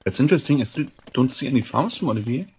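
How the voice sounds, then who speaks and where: Hoarse man casually commentating a game. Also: medium pitch, expressive, racing, a little mellow, slight background noise. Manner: thinking aloud, often clearing his throat; keeps saying "interesting" with mild curiosity. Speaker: gaming channel host commentating a match.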